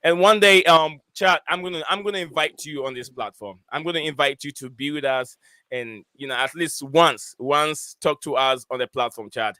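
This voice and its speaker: A man's voice: fairly high pitch, normal speed, sharp tone, dry recording. A man hosting a casual football live stream, hesitant and full of fillers as he reads out viewer comments. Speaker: host of a football podcast stream.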